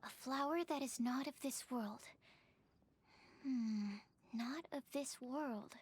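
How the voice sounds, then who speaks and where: A woman's voice: high voice, takes it slowly, noisy background. A woman playing a shy, studious young alchemist in a video game, earnest, hesitant and analytical. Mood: pensive. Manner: hesitant, thoughtful, reasons aloud carefully. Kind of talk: video game character acting.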